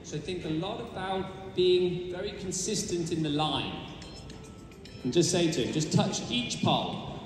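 A man's voice: high voice, animated, unhurried, heavy reverb, long reverb tail, faint music behind. A man coaching a rider in a large indoor arena, giving directive, encouraging calls, recorded from a far camera. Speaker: riding coach. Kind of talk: live riding instruction in an arena.

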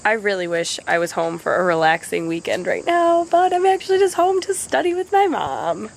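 Raspy, hoarse young woman vlogging. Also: mid-range voice, very animated, moderate pace, slight background noise. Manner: chatty and giggly, often laughing. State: hoarse from a cold. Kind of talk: casual vlog chat. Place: bedroom, car and backyard outdoors. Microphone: handheld camera at arm's length.

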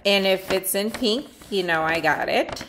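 A middle-aged woman chatting casually as she unboxes a swap package at home, rambling and thinking out loud, her voice a little worn as she comes down with something. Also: mid-range voice, measured pace, a little hiss or hum, rough recording. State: losing her voice, getting sick. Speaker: nail art swap host. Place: sunny room at home by a window.

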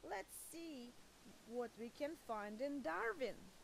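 A woman's voice: sits high, animated, takes it slowly, gravelly, slight background noise, full character voice, rough recording. A woman voicing a caring, encouraging grandmother possum in a picture book, earnest and reassuring.

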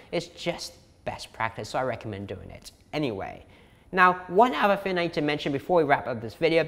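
A man's voice: on the high side, a bit bright, big-room sound. A young man teaching an online course in a chatty, emphatic way, repeating key points, his voice tiring from talking a long time. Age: young adult. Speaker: SEO course instructor. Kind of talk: casual tutorial explanation to camera. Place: indoor living room.

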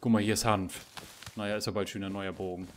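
A man's voice: fairly low pitch, little pitch movement, quick pace, slight background noise. A man chatting casually while playing a survival game, teasing himself and his teammate with frequent tag questions. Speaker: gamer streamer. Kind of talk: casual gameplay chat.

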